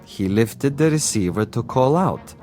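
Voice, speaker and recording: An actor playing a smug, cunning commissar and assassin in a vintage television drama, with a pompous, savoring delivery. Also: male voice, mid-range voice, lively intonation, unhurried, a little mellow, soft background music, lightly acted. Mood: relishing. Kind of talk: 1960s television drama acting.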